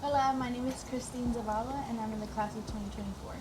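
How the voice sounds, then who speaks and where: A woman's voice: on the high side, steady tone, fast. Young woman, hesitant, far from mic. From northeastern United States. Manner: hesitant, many "um"s. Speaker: veterinary student. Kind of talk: casual group interview. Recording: distant mic with clear background noise.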